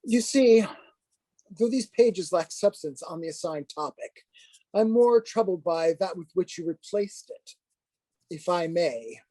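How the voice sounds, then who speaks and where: A man's voice: high voice, expressive, warm tone, no echo, rough recording. Stern, dryly sardonic priest played by a man. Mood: solemn lecturing. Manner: formal, measured, lecturing. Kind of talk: dramatized play script reading.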